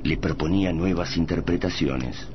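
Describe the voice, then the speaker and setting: An actor playing the solemn narrator of a science-fiction radio serial, in a literary style. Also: male voice, deep voice, normal speed, close to an everyday voice. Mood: grave, suspenseful. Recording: dull, noisy radio drama broadcast.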